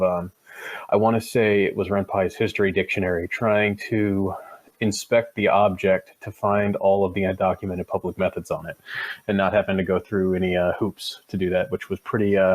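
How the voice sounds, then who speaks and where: Low-voiced man in casual tech chat. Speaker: game programmer.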